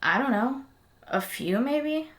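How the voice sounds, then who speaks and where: A woman's voice: high-pitched, animated, slow pace. A woman playing a wary, sarcastic young adventurer in an audio drama, blunt and given to complaint. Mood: anxious. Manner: dry, blunt.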